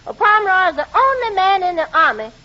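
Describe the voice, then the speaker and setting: An actress playing a good-natured, sassy, wisecracking housemaid in a 1940s radio comedy. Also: female voice, very high-pitched, sing-song, quick pace, sharp tone, heavily acted. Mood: good-natured, joking. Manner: familiar, wisecracking. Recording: muffled noisy old radio recording before audience.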